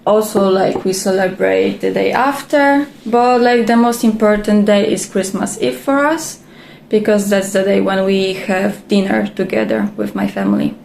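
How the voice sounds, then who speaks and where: A woman's voice: mid-range voice, animated, moderate pace, creaky, darkish tone. A twenty-year-old woman with a Polish accent, giving informal interview answers. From Poland. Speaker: interviewed volunteer. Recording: echoey room, faint ambient noise.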